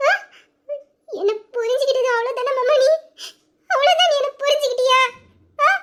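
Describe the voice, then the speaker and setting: A woman's voice: very high-pitched, a little fast, fairly bright tone. A woman playing a pleading young sweetheart. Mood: tearful. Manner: pleading, coaxing, breaking into tears. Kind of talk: audio drama acting.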